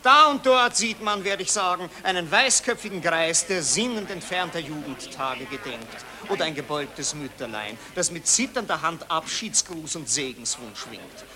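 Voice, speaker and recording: A man's voice: fairly high pitch, lively intonation, moderate pace, few pauses, crowd noise, natural voice. An actor playing a fussy, reluctant male journalist in a radio play. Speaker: newspaper reporter. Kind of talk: radio drama acting.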